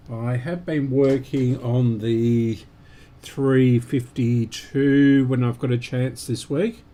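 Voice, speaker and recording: A man giving unscripted, halting commentary as host of a repair stream, muttering to himself while he works. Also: mid-range voice, fairly even intonation, warm tone.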